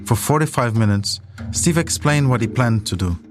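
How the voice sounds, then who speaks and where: A man's voice: mid-range voice, expressive, normal speed. Male documentary narrator over music. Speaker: podcast host and narrator.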